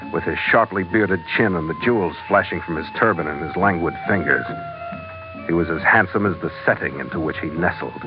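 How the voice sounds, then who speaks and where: A man's voice: on the low side, close to an everyday voice. A man playing a tough, wry adventurer in old radio drama, with a hard-boiled delivery. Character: tough, wry adventurer hero narrating his exploits. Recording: dull old radio recording with music.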